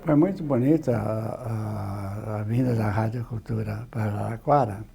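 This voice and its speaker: A man with a Brazilian accent recollects in an interview, halting and repeating words as he goes. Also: fairly low pitch, vocal fry, mellow. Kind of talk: spontaneous recollection.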